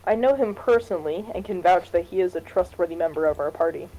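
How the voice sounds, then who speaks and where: A woman's voice: on the low side, quick pace, warm tone, highs cut off. A woman voicing a principled female politician who speaks up for ordinary citizens in a political game. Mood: earnest, insistent. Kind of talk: amateur voiced read of game dialogue.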